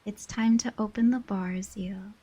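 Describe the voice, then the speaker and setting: A woman's voice: medium pitch, steady tone, measured pace, warm tone, husky. A woman voicing a stern, haughty inhuman guardian in a scripted dramatic monologue, formal and imperious. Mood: grave, commanding.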